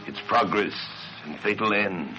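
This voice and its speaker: A deep male storyteller delivers gothic horror in a deliberate, ominous manner. His theatrical phrasing belongs to old-time radio drama. The recording is dull and vintage, with music playing loudly underneath. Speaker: narrator of a horror radio play. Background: loud dramatic music.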